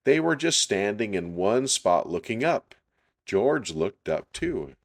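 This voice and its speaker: A man reading a children's story aloud in an unhurried, deliberate storytelling style. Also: lowish voice, sing-song.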